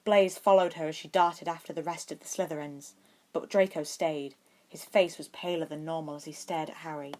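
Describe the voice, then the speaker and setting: Woman narrating an audiobook, lowish voice, reading a story from a script. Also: fairly even intonation, moderate pace, a little mellow.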